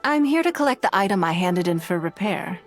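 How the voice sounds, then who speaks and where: A woman's voice: lowish voice, expressive, a little fast, soft background music, natural voice. An actress playing a poised, shrewd, gracious noblewoman in a video game, speaking formally and articulately. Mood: composed, gracious. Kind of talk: video game character dialogue.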